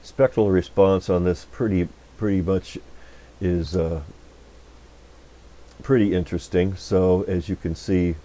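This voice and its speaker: Low-voiced man, folksy and rambling. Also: fairly even intonation, dark tone. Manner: folksy, rambling, halting with trailing restarts. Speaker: electronics repair technician and video host. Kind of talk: casual unscripted technical demonstration. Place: radio repair workbench.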